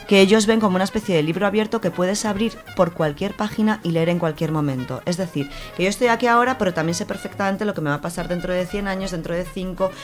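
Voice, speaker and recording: Low-pitched Spanish woman reviewing books. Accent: Spain. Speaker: radio show book reviewer. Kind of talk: conversational book review with prepared notes.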